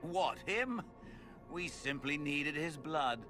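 An actor playing a smug, theatrical villainous noble mage in a video game, with a pompous, mocking drawl. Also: male voice, fairly high pitch, lively intonation, takes it slowly, music in the background. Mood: mocking, gloating. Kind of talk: dramatic game villain acting.

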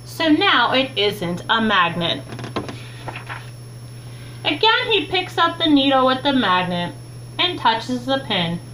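A young adult woman reads a children's book aloud with expressive, deliberate phrasing, recorded in a small room at home on a webcam mic. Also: sits high. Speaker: teacher reading a children's book. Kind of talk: read-aloud storytime narration. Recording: clearly noisy, humming home recording.

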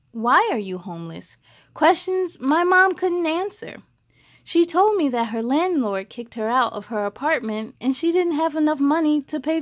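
A young woman storyteller reading aloud for children in an expressive, encouraging way, addressing them directly. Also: high voice. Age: young adult.

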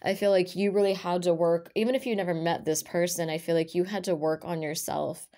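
A woman with a raspy voice and a lingering cough, reading tarot cards to camera in a casual chat and half-whispering card names as she lays them out. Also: fairly low pitch, fairly even intonation, fairly quick, mellow. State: recovering from a cough. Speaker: tarot reader. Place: indoors at a table with a purple cloth. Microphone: camera over her hands, speaker off-frame.

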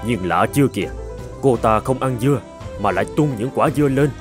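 A man voicing a grumbling but soft-hearted old farmer in an animated folk tale. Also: mid-range voice, a little fast, over music, natural voice. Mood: wary, grumbling.

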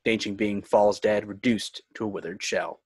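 A man's voice: fairly low pitch, steady tone, moderate pace, a little mellow, slightly rough. A man working as narrator and host of a fan script reading. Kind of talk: reading screenplay directions, host outro. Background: music.

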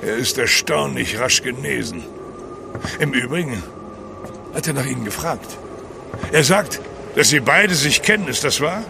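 An actor playing a gruff, driven, world-weary ship's captain in a radio drama. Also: male voice, medium pitch, lively intonation, measured pace, pauses often, background noise. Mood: measured.

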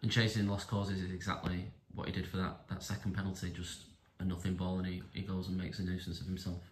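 A man asking a football manager steady post-match questions for the club's media. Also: deep voice, fast, echoey room. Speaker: club media interviewer. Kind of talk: interview questions.

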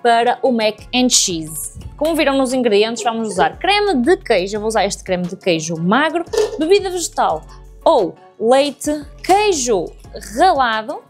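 Young woman, European Portuguese accent, bright higher voice, chatty cooking vlog, faint music behind. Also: big pitch swings, unhurried. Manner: chatty, addresses viewers directly while cooking. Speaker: cooking vlogger. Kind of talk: casual cooking tutorial. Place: home kitchen, indoors.

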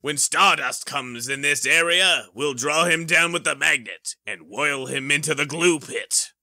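A man voicing a scheming, gloating warmongering comic-book villain in a bombastic, theatrical reading. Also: fairly high pitch, unhurried, no echo, clean studio sound, full character voice. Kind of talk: hammy dramatic reading of a comic.

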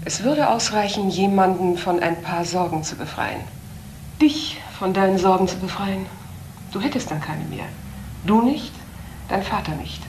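A woman's voice: medium pitch, lively intonation, moderate pace, darkish tone, natural voice. Actress as a stern woman doctor, noisy recording. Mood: grave, accusing. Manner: precise, commanding, grave. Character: stern, well-to-do woman doctor, reproachful aunt. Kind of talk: dramatic radio crime play acting. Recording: noticeable background noise, old broadcast audio.

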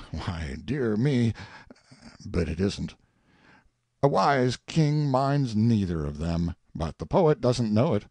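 A man's voice: fairly low pitch, darkish tone, no room sound. A man voicing a haughty, self-pitying tyrant king who muses aloud with pompous sardonic scorn in an audiobook monologue. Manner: pompous, theatrical, sardonic musing.

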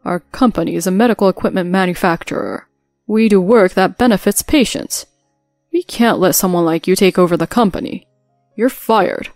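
A woman's voice: medium pitch, normal speed, a little mellow, no room sound, soft background music, lightly acted. A woman voicing a stern, angry older father-in-law who scolds his son with contempt. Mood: cold.

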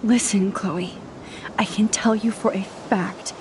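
An actress playing an earnest, conciliatory young woman in a video game, speaking in a gentle, pleading, diplomatic manner. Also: medium pitch, fairly even intonation, slow, background noise, close to an everyday voice. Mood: cautioning. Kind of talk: dramatic video game voice acting.

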